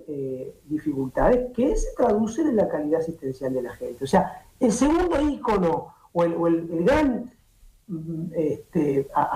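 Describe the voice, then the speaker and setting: A man's voice: on the high side, mellow. A middle-aged Uruguayan man, a doctor, explaining at length as a guest over a video call, with many restarts and fillers. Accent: Uruguayan Spanish. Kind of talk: interview on a streaming show. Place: indoor home office. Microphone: laptop or webcam on a video call. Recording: echoey video-call audio.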